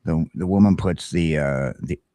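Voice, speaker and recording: A man's voice: a bass voice, normal speed, dark tone, no echo. A middle-aged American man telling stories in casual chat, with a blunt, folksy, sweary manner. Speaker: talk show host.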